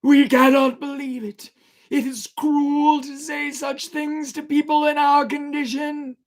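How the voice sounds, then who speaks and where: A reader voicing a weary ghostly sailor who pleads in a high, strained, sobbing voice. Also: creaky, full character voice. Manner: drawn out, crying and shouting by turns.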